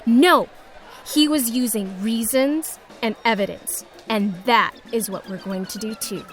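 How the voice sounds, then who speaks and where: A woman's voice: on the high side, wildly swooping pitch, unhurried. A woman playing an exasperated, determined schoolgirl with an emphatic, sarcastic manner in an audio drama. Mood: determined, scheming. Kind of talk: scripted children's audio drama.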